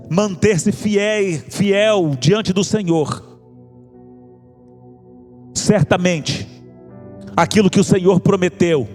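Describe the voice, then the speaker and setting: High-pitched Brazilian male preacher over music. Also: a little mellow. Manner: impassioned, exhorting, rhetorical repetition. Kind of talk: evangelical sermon with altar appeal. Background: music under the preaching.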